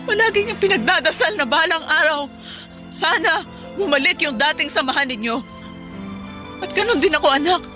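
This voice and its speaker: A woman's voice: high voice, expressive, moderate pace, pauses often. A woman playing a worried, stern but loving stepmother in a radio drama, scolding and pleading. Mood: hurt, pleading. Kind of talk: Tagalog radio drama acting. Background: background music.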